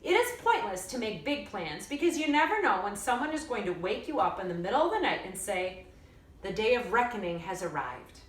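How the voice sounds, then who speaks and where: A woman reads a children's chapter book aloud with a fairly high, animated voice. She shapes the sentences like a storyteller. The sound is echoey and set back from the mic. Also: brisk. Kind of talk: expressive read-aloud storytelling. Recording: roomy echo, distant mic.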